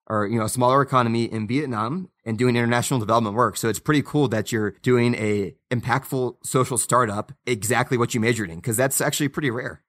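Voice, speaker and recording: A man's voice: mid-range voice, fast talker, hardly any pauses, fairly bright tone. A man hosting an interview podcast, asking friendly, enthusiastic questions with plenty of fillers. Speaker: podcast host.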